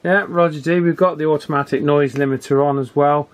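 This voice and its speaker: A man's voice: fairly high pitch, steady tone, brisk. Man chatting on the radio. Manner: hesitant, conversational, tag questions. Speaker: amateur radio hobbyist and video host. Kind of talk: casual radio chat and video narration.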